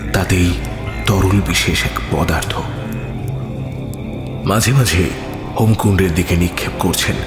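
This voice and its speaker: A man narrating a scripted horror audio story in a theatrical, suspenseful manner. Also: sits low. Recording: reverberant hall effect with music behind. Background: music.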